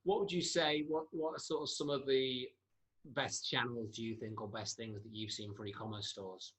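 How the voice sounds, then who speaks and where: A man hosts a business podcast and asks casual, loosely built questions. He speaks at an ordinary pitch, often stopping to restart with "you know" or "sort of". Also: fairly even intonation, moderate pace, fairly bright tone. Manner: rambling, hesitant questions with fillers. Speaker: podcast host.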